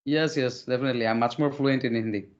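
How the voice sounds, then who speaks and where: A man's voice: medium pitch, fairly even intonation, fast talker, lo-fi sound. Polite Indian man, many fillers. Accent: Indian English. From Odisha, India. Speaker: interview guest, English learner. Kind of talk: casual conversational interview.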